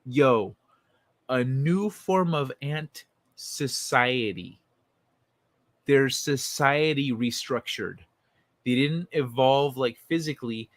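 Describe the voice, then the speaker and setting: A man hosting a livestream in an informal, excitable, sweary way, talking casually and reading things aloud. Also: mid-range voice, slow, no room sound. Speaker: livestream host.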